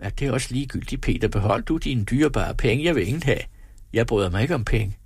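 A scornful, exasperated storybook wife, performed by a male reader. The delivery is blunt and needling, with a husky edge and lively pitch. The sound is dry and close. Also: no room sound. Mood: dry, teasing. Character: sharp-tongued, scornful wife, teasing and exasperated. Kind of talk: dramatised storybook reading.

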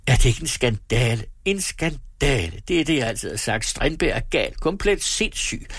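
A man's voice: mid-range voice, lively intonation, slightly rough, no room sound, clean studio sound. A man voicing a pompous, self-pitying husband and father in a story, ranting indignantly. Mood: triumphant, ranting.

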